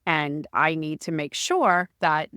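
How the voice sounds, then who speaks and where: Loud, booming woman co-host. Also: takes it slowly, clean tone, no echo, studio quality. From Arizona, USA. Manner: energetic, animated, jokey. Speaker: podcast co-host. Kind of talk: casual podcast chat.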